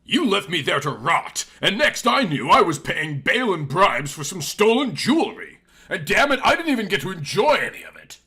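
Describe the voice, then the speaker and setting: A bitter young Southern heiress, acted by a male narrator spits out sardonic lines in a forceful, raised voice. Her delivery swings widely, cold and vengeful, in a melodramatic story. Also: high voice, very animated, normal speed, breathy edge, pitched above the performer's own voice, full character voice. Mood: furious.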